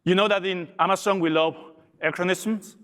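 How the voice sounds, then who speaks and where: A man's voice: sits high, fairly even intonation, moderate pace, a bit bright, clean tone. A man from Argentina with an Argentine Spanish accent, giving an upbeat conference presentation as a logistics director. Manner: upbeat, with small restarts. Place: large conference hall. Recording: long reverb of a big hall.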